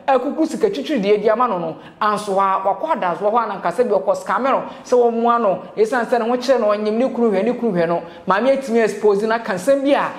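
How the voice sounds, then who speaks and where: A young woman with a Ghanaian accent, hosting and presenting gossip news commentary. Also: medium pitch. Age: young adult. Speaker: gossip show host. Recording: roomy indoor echo.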